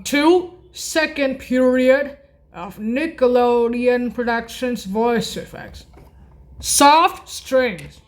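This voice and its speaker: A woman giving an informal music tutorial in a halting, repetitive way, correcting herself as she goes. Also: on the high side. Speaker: music practice video host. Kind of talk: informal spoken tutorial naming keyboard voice effects.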